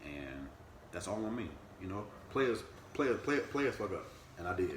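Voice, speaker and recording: Deep-voiced man in his thirties, echoey room. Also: normal speed, slight background noise. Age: 30s. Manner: animated, swings to high teasing pitch when joking. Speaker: podcast co-host. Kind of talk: casual couple chat.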